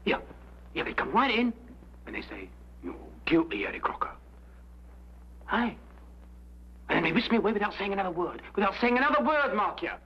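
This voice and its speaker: An actor playing a chatty Cockney escape artist on the run, rambling and pleading in an old detective drama. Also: male voice, high voice, very animated, fairly quick, long pauses, husky, full character voice. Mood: agitated. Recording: dull, humming old broadcast recording.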